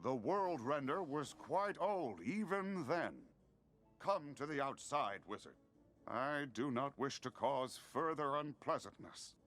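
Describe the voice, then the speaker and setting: A man voicing a troubled otherworldly being in a video game, speaking formally and haltingly, questioning itself. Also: mid-range voice, animated, fairly bright tone, faint music behind. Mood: apologetic.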